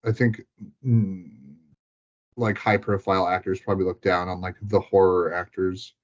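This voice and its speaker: A man's voice: deep voice, flat delivery, normal speed, dull audio. A man chatting casually and informally about films, thinking aloud as he goes.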